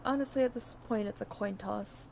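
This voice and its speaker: A woman's voice: mid-range voice, a little fast, gravelly, a little mellow, a little hiss or hum. A woman hosting a game livestream, chatting casually. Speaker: livestream host.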